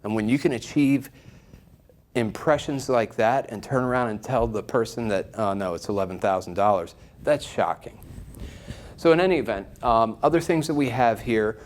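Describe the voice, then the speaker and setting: A man's voice: medium pitch, normal speed. A man speaking as an enthusiastic shop presenter, giving an informal product review straight to viewers. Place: shop listening room, indoors.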